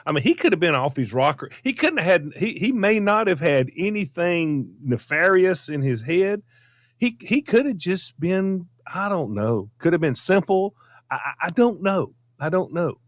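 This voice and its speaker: A Southern American man tells a casual story in a fairly high voice. His pitch swings widely as he leans on phrases and repeats them. He sounds folksy and emphatic. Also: big pitch swings, normal speed, dry recording, clean studio sound. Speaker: storytelling show regular.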